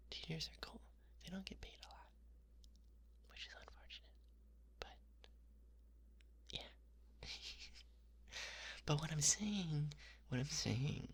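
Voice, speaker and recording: A woman's voice: low voice, gravelly, a bit bright, breathy edge. A young woman whispering in a playful, rambling ASMR monologue, teasing the listener and repeating words over and over. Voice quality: whispering throughout. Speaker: ASMR creator.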